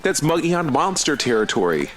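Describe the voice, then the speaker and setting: A man's voice: a little high, big pitch swings, fairly quick, slight background noise. A man playing a friendly, earnest running buddy obsessed with cryptids in an audio drama, easygoing and playful. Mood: excited, playful. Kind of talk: conversational audio drama acting.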